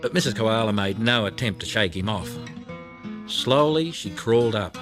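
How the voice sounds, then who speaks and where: Expressive man narrating a children's story over music. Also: on the low side, moderate pace. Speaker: audiobook narrator. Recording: music behind the voice.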